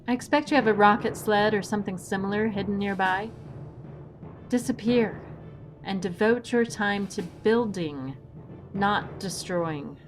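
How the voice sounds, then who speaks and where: A woman acts out a calm, principled heroine of an adventure audio drama. The mid-pitched voice is measured and exact, with a dry, pedantic edge. Soft music runs behind it. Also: close to an everyday voice. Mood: stern. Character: composed, principled, pedantic heroine who reasons with villains.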